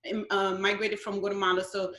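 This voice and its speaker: A woman's voice: medium pitch, level tone, fairly quick, fairly bright tone, echoey room. Earnest woman on a video call. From Brooklyn, New York. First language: Spanish. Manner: earnest, searching for words with frequent ums. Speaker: guest.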